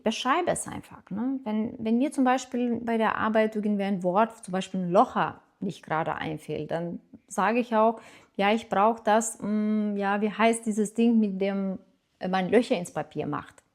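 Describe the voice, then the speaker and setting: A middle-aged woman from Lithuania talks to camera in an encouraging, personal way, with a Lithuanian accent. She speaks at an ordinary pitch. Her hesitations and fillers give her the feel of a friendly teacher sharing her own experience. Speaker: German teacher. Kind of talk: casual talk to camera.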